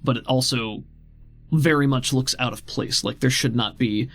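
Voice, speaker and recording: A man runs a tabletop roleplaying game as its game master. He describes the scene conversationally in a mid-pitched voice, with music playing behind him. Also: steady tone, moderate pace, a bit bright. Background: background music.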